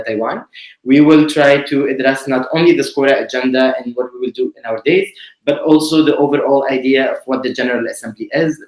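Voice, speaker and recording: A man from Tunisia hosting an online presentation, explaining in a measured way with frequent pauses. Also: medium pitch. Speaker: webinar host, committee director. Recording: roomy echo.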